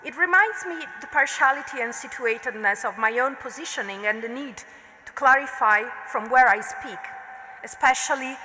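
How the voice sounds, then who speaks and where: A woman with an Italian accent, a non-native speaker who is an art history researcher, reads a prepared academic lecture at a conference in a measured, deliberate way. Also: high voice, pushed and bright. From Italy. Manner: measured, deliberate delivery with occasional slips and fillers. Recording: long reverb of a lecture hall.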